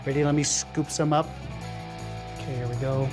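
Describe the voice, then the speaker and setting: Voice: mid-range voice, steady tone, moderate pace. A science show host giving a live demonstration, coaxing a helper along with enthusiasm and frequent questions. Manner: enthusiastic, coaxing, asks many rhetorical questions. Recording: music behind the voice.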